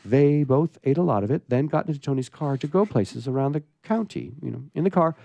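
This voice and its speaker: A mellow male voice, dry and close, reads a comic story aloud as a radio host would. He slips playful asides into the reading and sounds amused by the material. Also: fairly high pitch, lively intonation, a little fast, gravelly, no room sound, highs cut off.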